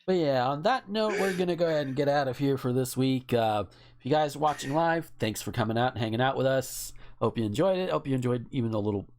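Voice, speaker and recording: Female podcast host, lower-pitched and animated, casual and emphatic in delivery. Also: fast talker. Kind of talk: casual chat.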